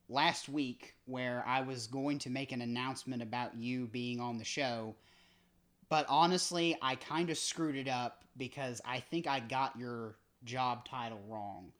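A man's voice: medium pitch, a bit bright. Male podcast host, chatty and joking, casual interview. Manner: joking, rambling, self-deprecating.

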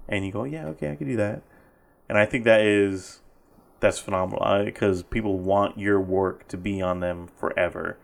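A man with a fairly low voice hosts a relaxed podcast conversation. He heaps praise on his guest and laughs easily, with an eager, upbeat way of talking. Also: normal speed, gravelly. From Spanish heritage. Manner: enthusiastic, praising, laughs often. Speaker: podcast host. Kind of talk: casual interview chat.

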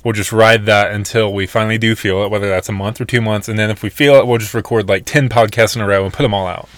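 A man's voice: on the low side, fairly even intonation, fast, no room sound. A young adult man co-hosting a podcast in casual, unscripted banter, with a joking, teasing way of talking. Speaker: podcast co-host.

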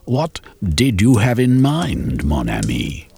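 Brief: a sly, mocking old treasurer, voiced by a man, raised pitch, husky tone. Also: wildly swooping pitch, takes it slowly, a little mellow, exaggerated voice. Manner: sly, mocking, drops French phrases.

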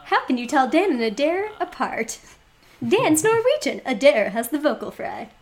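A woman co-hosting a casual podcast, speaking with vocal fry in playful, jokey banter. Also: high voice, sing-song, moderate pace. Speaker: podcast co-host.

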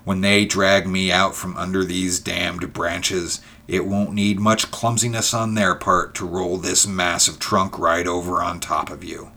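A man's voice: sits low, vocal fry, bright, forceful tone, full character voice. A man voicing a trapped, bitter enemy who mocks and threatens his rival with snarling, gloating menace in a storybook reading.

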